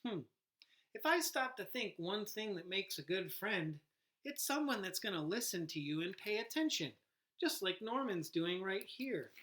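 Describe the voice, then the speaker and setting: A woman reading a picture book aloud to young children as a teacher, animated and asking the listeners questions. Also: medium pitch, normal speed. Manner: animated, acts out sounds, asks listeners questions.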